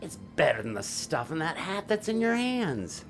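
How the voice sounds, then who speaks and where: A man playing an earnest, remorseful father and stage magician in an audio drama, speaking in a halting way. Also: fairly high pitch, very animated. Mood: tender, earnest.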